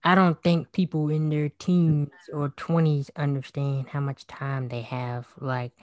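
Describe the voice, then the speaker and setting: A man in his twenties in casual chat, agreeable and chiming in with quick remarks. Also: fairly high pitch, level tone, darkish tone, no room sound. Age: 20s.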